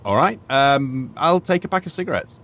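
An actor with a British accent playing a polite, sardonic retired archaeologist in an audio drama, hesitant and curious. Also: male voice, medium pitch, lively intonation, normal speed, a bit bright. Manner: muttering musings, hesitant, polite. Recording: faint ambient noise behind.

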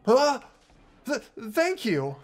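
A man's voice: extremely high pitch, wildly swooping pitch, drawn-out words, faint music behind, voice pushed up, exaggerated voice. A man voicing an earnest, determined teenage boy in a visual novel game, with emphatic delivery. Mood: relieved, surprised.

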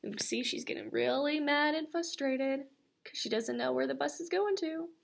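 A young woman teacher reading a children's book aloud to her class, expressive and animated, recorded on a laptop webcam in a classroom. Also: high voice, normal speed, creaky. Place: school classroom, indoors.

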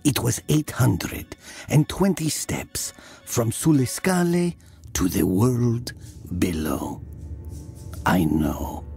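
An actor playing a wistful ghostly man, telling of his village boyhood in measured audio drama narration. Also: medium pitch, expressive, vocal fry, a little mellow. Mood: wistful, haunted. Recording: music under the voice. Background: music.

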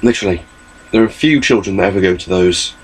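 A man's voice: deep voice, quick pace, a little hiss or hum, low-quality recording. A young man giving a rambling, chatty webcam update from his small bedroom, recorded on his laptop mic. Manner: rambling, chatty, gestures a lot. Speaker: vlogger.

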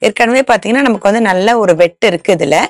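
A woman's voice: mid-range voice, animated, fast talker. A woman in her thirties hosting a cooking show, explaining each step plainly from a studio kitchen.

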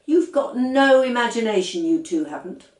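A woman's voice: fairly high pitch, expressive, normal speed, darkish tone, echoey room, distant mic, pitched above the performer's own voice, natural voice. A woman voicing a small, determined and scornful little girl in a storybook reading, emphatic and matter-of-fact.

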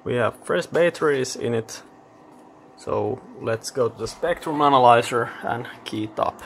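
A man giving a casual technical demonstration, thinking aloud in a halting, matter-of-fact way. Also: medium pitch, vocal fry, a bit bright, a little hiss or hum. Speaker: electronics hobbyist presenter. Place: indoor electronics workbench.

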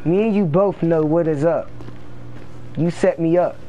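Casting: male player as indignant, swearing gang boss behind bars, slow delivery, noisy game-chat recording. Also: a little high, dark tone, highs cut off, natural voice. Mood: indignant, bitter. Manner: indignant, profane street talk. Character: defiant wronged male prison inmate, foul-mouthed gang boss. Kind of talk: improvised role-play in a video game.

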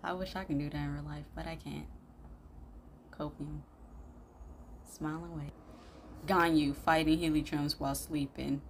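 Young woman, reaction host, lowish voice, informal, expressive, casual commentary, noticeable background noise. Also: normal speed, long pauses.

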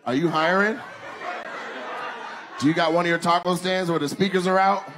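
A man doing stand-up crowd work on a club stage, roasting audience members in a loud, animated way. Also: high voice, normal speed. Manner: loud, animated, breaks into shouted voices. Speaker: stand-up comedian. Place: comedy club stage, brick wall. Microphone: handheld stage mic on stand. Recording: live club crowd and noise.

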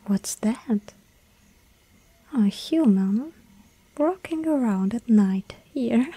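Woman voicing a hungry vampire girl, breathy whisper, dark mellow tone, slow teasing delivery. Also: fairly high pitch, expressive, exaggerated voice. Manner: teasing, giggling. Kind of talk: ASMR roleplay.

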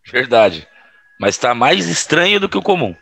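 An actor playing a teasing, foul-mouthed young buddy in an audio drama, raspy and mocking with slangy speech. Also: male voice, mid-range voice, sing-song, measured pace, fairly bright tone, heavily acted.

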